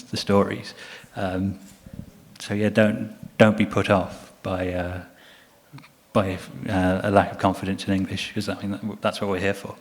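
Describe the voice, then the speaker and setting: A man who works as a book publisher, answering on a conference panel with many hesitant restarts. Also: lowish voice. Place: conference hall. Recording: reverberant conference hall.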